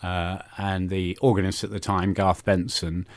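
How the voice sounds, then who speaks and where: A man's voice: sits low, little pitch movement, unhurried, no room sound. A man in his sixties with a London accent, reminiscing casually as a studio interview guest in a hesitant, self-deprecating, chatty way. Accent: London English. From London, England. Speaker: interview guest, church musician. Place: radio studio.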